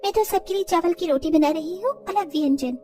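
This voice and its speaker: A young village daughter-in-law from a cartoon moral tale, resourceful and sure of herself , acted by a woman. She speaks extremely high, slightly off the mic, over faint music. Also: brisk, mellow, recorded from a distance. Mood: brisk, practical. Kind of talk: moral-story cartoon dialogue.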